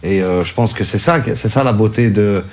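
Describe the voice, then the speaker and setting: A young man from Belgium, a guest musician talking casually in an interview, relaxed and full of fillers and repeated phrases. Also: fairly low pitch, normal speed, faint music behind.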